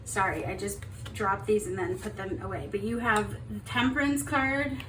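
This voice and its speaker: A young woman giving a casual tarot reading, chatty and upbeat with lots of fillers, her voice slightly hoarse. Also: mid-range voice, moderate pace, fairly bright tone, recorded from a distance, slight background noise. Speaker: tarot reader. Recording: roomy echo, off-mic.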